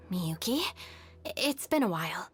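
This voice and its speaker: A dutiful young woman in a video game, reserved and a little weary. She speaks at a middle pitch in a restrained, polite, matter-of-fact way, with faint music behind her. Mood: guarded. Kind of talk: English video game voice acting.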